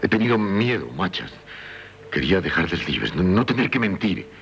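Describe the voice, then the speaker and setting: An actor playing a despairing, self-loathing but tender husband in a radio drama, with a Spanish accent from Spain and halting delivery. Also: male voice, sits low, very animated, creaky, fairly bright tone, muffled recording, heavily acted. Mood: anguished. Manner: halting, repeats words, dramatic pauses. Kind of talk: 1970s radio drama acting. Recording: noisy 1970s radio broadcast recording.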